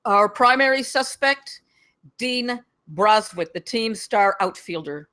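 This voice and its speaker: A woman's voice: medium pitch, lively intonation, slow, pushed and bright. A woman reading the part of an impatient, commanding campus security captain at a script table read.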